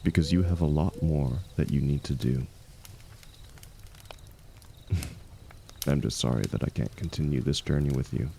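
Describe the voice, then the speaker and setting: A man playing an aging, wounded warrior, the hero's loyal and gentle shield, speaking softly and haltingly in an intimate audio roleplay. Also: extremely low pitch, vocal fry, slight background noise. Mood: resigned, earnest. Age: older. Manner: soft, halting, confiding, reassuring.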